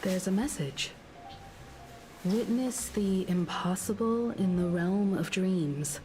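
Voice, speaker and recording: An actress playing a composed, perceptive woman in a video game, speaking deliberately and analytically. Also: mid-range voice, dark tone, natural voice. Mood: composed, probing. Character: composed, perceptive adult woman travelling companion. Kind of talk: video game story voice acting. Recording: prominent game music behind.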